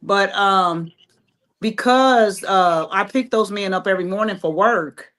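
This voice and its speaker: A woman guest on a podcast from Ohio, talking in a rambling, halting way with many fillers. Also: medium pitch, fairly bright tone. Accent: American English, Ohio. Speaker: guest, playwright. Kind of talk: casual interview talk.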